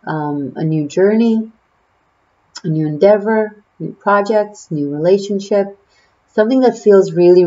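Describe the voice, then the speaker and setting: Casual tarot reader, a woman with an Israeli accent and a slightly low voice, rambling through fillers on a muffled home recording. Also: dark tone. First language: Hebrew. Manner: chatty and musing, trailing off with fillers. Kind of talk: casual tarot reading to camera.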